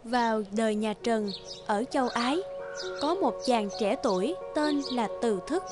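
High-voiced woman narrating a folk tale. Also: measured pace, music in the background.

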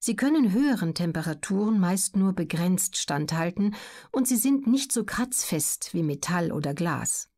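A woman reading scripted educational narration as an audiobook narrator, in a measured, articulate way. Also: lowish voice, animated, darkish tone.

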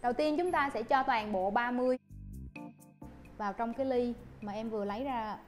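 A young woman, a barista instructor, guiding viewers through a coffee recipe politely with soft fillers, recorded on a lapel mic at a shop counter. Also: on the high side, a little fast, soft background music. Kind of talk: coffee-making tutorial.

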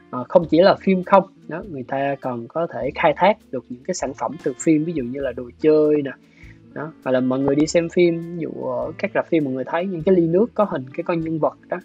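Male interview guest, southern Vietnamese accent, husky and measured, muffled video-call sound with guitar music behind. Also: fairly high pitch. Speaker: guest, animation director. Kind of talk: explanatory interview talk. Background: soft plucked guitar music.

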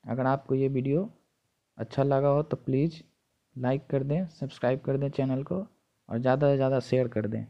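A man's voice: medium pitch, steady tone, moderate pace, highs cut off. A man narrating an informal step-by-step tutorial, instructing and repeating each step, recorded on a phone. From India. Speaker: tutorial presenter.

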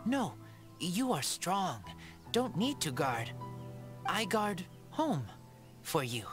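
A woman playing a shy, gentle, loyal feral boy raised by wolves in a video game, speaking in halting broken sentences. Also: on the low side, big pitch swings. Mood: protective, earnest. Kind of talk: video game character dialogue. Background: game background music.

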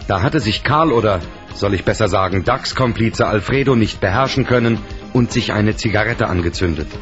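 A man's voice: fairly low pitch, lively intonation, moderate pace, fairly bright tone. A man playing a composed first-person storyteller, reading scripted narration for a radio play. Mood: composed, reflective. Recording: dull old cassette, faint music behind.

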